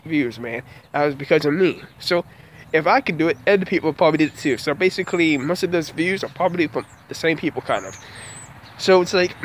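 A man's voice: on the high side, a little hiss or hum. Frustrated young man ranting outdoors on a phone. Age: 20s. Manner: frustrated, dejected, repetitive. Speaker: small vlogger. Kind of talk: casual vlog rant. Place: outdoors beside a house. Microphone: phone held at arm's length.

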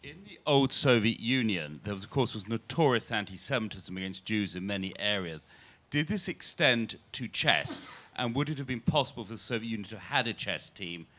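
Male audience questioner, lowish voice, formal, handheld mic in a theatre. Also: expressive, a little fast, a bit bright. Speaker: audience member asking a question. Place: audience seating of a large theatre.

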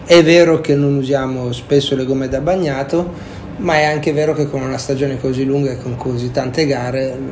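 A man, a team's sporting director, giving a deliberate, formal explanation of new season rules. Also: mid-range voice, steady tone, background noise. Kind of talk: formal statement to camera.

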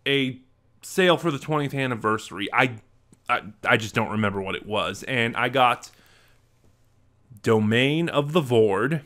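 Bright-voiced young man vlogging. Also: medium pitch, lively intonation, unhurried. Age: young adult. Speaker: vlog host. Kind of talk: casual unboxing and review vlog talk. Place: small home room, indoors. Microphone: desk microphone close in front.

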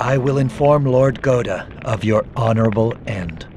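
An actor playing a stern, honorable ninja assassin in a video game, speaking in a formal, solemn way. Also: male voice, mid-range voice, moderate pace, over music, close to an everyday voice. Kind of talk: video game cutscene acting.

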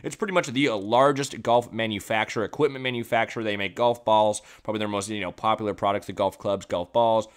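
Fast-talking man with a stuffy nose. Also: lowish voice, steady tone, smooth. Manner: quick, loose delivery with fillers and self-corrections. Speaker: stock analysis YouTuber. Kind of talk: casual screen-share commentary.

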